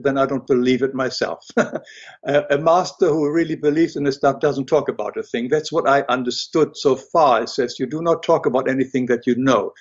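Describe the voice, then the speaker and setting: An older man with a German accent talks as a guest, pausing often and restarting his sentences. His voice is husky and a little breathy. The audio sounds dull and lo-fi. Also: medium pitch. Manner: hesitant, many fillers and restarts. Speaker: guest, illustrator and writer. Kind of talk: interview conversation.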